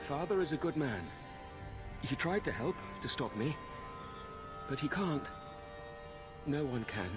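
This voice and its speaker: A man playing a tormented, pitiful killer in a video game, halting and anguished as he pleads. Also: on the high side, animated, over music. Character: tormented, self-loathing male killer, magistrate's son, pitiful. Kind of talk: video game dramatic acting.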